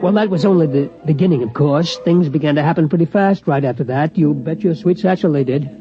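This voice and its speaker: An actor playing a folksy, rambling American security guard, plainspoken and hesitant, in a 1950s radio drama. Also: male voice, a little high, brisk, natural voice. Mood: resigned, confiding. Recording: dull vintage radio, music behind.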